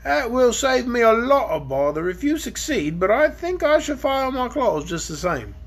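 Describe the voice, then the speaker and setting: A woman voicing a gruff, boastful young male dragon in a storybook reading. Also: on the high side, big pitch swings, normal speed, voice pushed up. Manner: sneering, blunt. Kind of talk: audiobook character reading.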